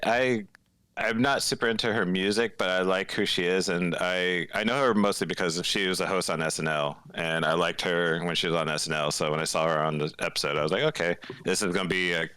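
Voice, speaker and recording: A man's voice: low-pitched, brisk, sharp tone, clear, resonant tone, no room sound. A man in his late forties chatting casually as a podcast guest. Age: late 40s. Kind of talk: casual chat.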